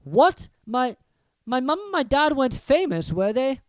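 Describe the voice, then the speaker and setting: A puzzled, polite young boy, as a storybook reader plays him. The voice sits well above the reader's own and stays fairly loud, with hesitant, questioning delivery over a dry, close sound. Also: high voice, very animated, a little slow, no room sound, pitched above the performer's own voice. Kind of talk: audiobook character reading.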